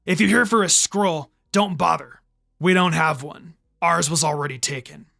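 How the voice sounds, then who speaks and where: A man's voice: fairly high pitch, normal speed, vocal fry, pushed and bright. A man voicing a blunt, protective teenage boy ninja with a curt, controlled manner in a story reading. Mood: cold, defiant.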